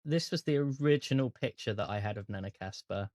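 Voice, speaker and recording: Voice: mid-range voice, a little fast, a little mellow, no echo, clean studio sound. A game master narrating a tabletop role-playing game and chatting with the players.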